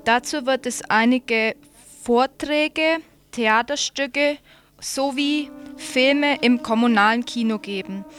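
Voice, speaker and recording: A woman's voice: on the high side, slow pace, fairly bright tone, faint music behind. A woman radio presenter reading out event announcements for listeners.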